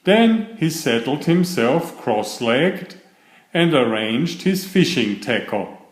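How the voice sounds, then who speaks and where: A man narrates a children's story at a slow, deliberate pace, his pitch rising and falling with plenty of animation. The voice sits in a medium male register, with audible room echo around it. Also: big pitch swings. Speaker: storyteller reading children's picture books. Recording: roomy echo on the voice.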